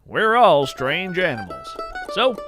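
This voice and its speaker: A man's voice: fairly high pitch, huge pitch swings, takes it slowly, loud music behind the voice, heavily acted. A man playing a whimsical, folksy naturalist who hosts a scripted fiction podcast. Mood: musing. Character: folksy, whimsical male cryptid naturalist radio host. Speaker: podcast host.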